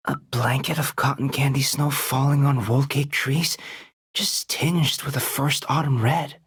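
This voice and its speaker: Gentle, low-voiced gardener teacher. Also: female voice, steady tone, a little slow, slightly rough, no echo, studio quality, heavily acted. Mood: gentle musing. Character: gentle, patient young gardener and nature teacher.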